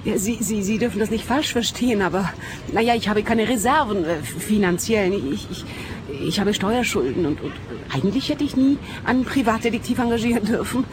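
An actress playing an anxious, hesitant detective's client in a radio play, stammering, with a Swiss accent. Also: female voice, medium pitch, expressive, fairly quick, noisy background, natural voice. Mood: flustered.

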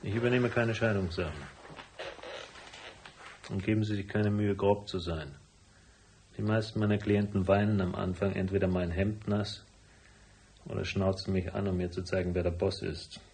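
An actor playing a cool, wisecracking private detective in a noir radio drama, speaking laconic with dry sarcasm. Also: male voice, deep voice, steady tone, normal speed, pauses often, a little mellow, a little hiss or hum. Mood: cool, sardonic. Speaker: lead actor and first-person narrator.

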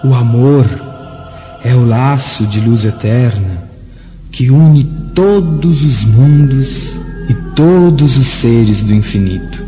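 A man's voice: mid-range voice, takes it slowly, dark tone, close to an everyday voice. An actor playing a benevolent, grave angelic emissary in a radio drama, with solemn, lofty, fatherly delivery. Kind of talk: dramatic radio play acting. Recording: muffled, long cavernous reverb, faint music.

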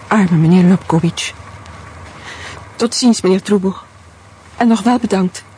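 An actress playing the shaken secretary of a murder victim in a radio play, speaking in a polite, shaken way. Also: female voice, medium pitch, measured pace, mellow, a little hiss or hum, lightly acted.

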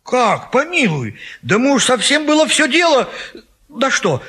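A bossy, hot-blooded matchmaking friend in a classic Russian comedy. His high, bright voice swoops widely, with a husky edge. He cajoles and scolds with theatrical insistence. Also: wildly swooping pitch, measured pace, heavily acted. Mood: indignant, insistent. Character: pushy, excitable male friend bullying a bachelor into marriage.

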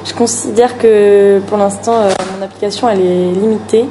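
A woman giving casual personal testimony, reflective and halting, often restarting her phrases. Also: medium pitch, fairly even intonation, mellow, a little hiss or hum, rough recording. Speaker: dancer.

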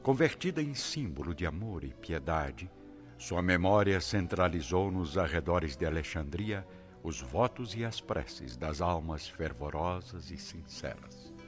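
Very deep solemn Brazilian male narrator. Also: expressive, unhurried. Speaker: radio drama narrator. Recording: dull lo-fi audio with music.

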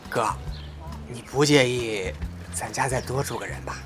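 A young man with a northern Mainland Chinese accent, acting a cocky, quick-tongued, open-hearted character in an audio drama. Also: medium pitch, close to an everyday voice. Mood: sheepish. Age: young adult. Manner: sparring, colloquial. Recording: music behind the voice.

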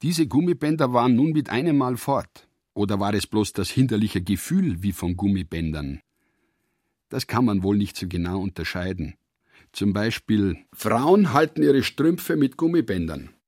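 A man's voice: on the low side, expressive, unhurried, a little mellow, no echo, clean studio sound. A man reading scripted literary prose as the narrator of a radio production.

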